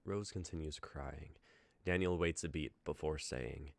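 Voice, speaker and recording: A man with an extremely deep voice reads stage directions aloud in a plain, measured way. The sound is dry and close, with no room around it. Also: vocal fry, no room sound. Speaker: narrator reading stage directions. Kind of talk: reading scripted narration aloud.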